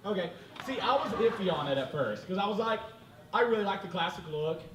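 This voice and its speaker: A man hosting a fan convention panel, joking with co-panelists and the audience, lively and teasing. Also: high-pitched, brisk. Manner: lively, teasing, laughs often. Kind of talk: casual joking panel talk. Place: large convention hall with audience. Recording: echoey hall, far off, crowd noise.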